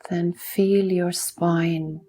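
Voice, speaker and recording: A woman leading a guided mindfulness meditation in a soft, soothing manner, unhurried and leaving long pauses between instructions. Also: on the low side, flat delivery.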